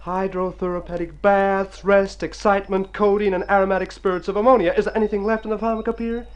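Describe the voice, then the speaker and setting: A man's voice: sits high, little pitch movement, fast, clear, resonant tone, muffled recording, natural voice. An actor playing an earnest, plaintive convalescing city man in a radio drama, theatrical and wordy. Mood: anxious, hopeful. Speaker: storyteller and lead character in a radio play. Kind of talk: Golden Age radio drama acting. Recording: old radio recording with music.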